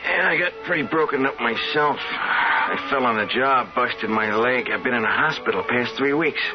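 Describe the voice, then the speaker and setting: Actor as brash young schemer, old radio broadcast. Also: male voice, mid-range voice, normal speed, close to an everyday voice. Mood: defensive, determined. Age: young adult. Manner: streetwise, slangy, insistent. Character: restless, down-on-his-luck young working man, brash and scheming. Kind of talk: 1970s radio drama acting. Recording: muffled old radio broadcast, music behind.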